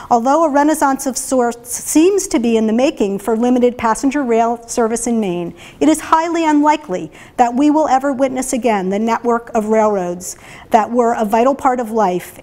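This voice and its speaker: Chatty woman giving a gallery talk. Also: on the high side. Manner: chatty, hesitant, many fillers and restarts. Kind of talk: informal talk with read-aloud excerpts. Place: art gallery before an audience.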